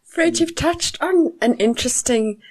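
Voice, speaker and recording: A woman's voice: sits high, expressive, measured pace, a little mellow, no echo. A woman co-hosting a podcast interview, asking curious, probing questions. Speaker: podcast co-host.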